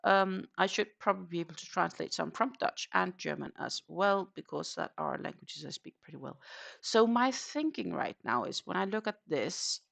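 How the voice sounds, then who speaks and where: A woman's voice: on the low side, lively intonation, moderate pace, creaky, fairly bright tone. A woman with a Danish accent chatting casually on a crochet live stream, counting her stitches aloud and answering viewers.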